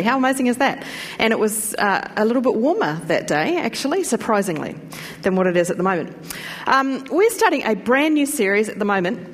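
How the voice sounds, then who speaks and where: Woman, new zealand accent, pastor giving a sermon, chatty asides, long hall reverb. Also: on the high side. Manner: chatty, warm asides to the congregation. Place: church hall.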